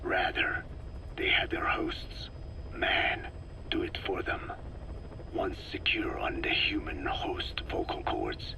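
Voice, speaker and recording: An actor playing a frail, learned old Navajo scientist in a video game, lecturing gravely in slow, measured phrases with a breathy rasp. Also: male voice, low voice, full character voice, rough recording. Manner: slow, solemn, measured, deliberate. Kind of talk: dramatic game monologue, lecturing.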